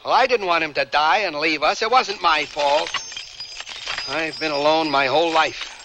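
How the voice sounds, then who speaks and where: An actor playing a bitter, defensive working-class American veteran in a radio drama, with rough, slangy speech. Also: male voice, on the high side, moderate pace, noisy background, close to an everyday voice. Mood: bitter, heated.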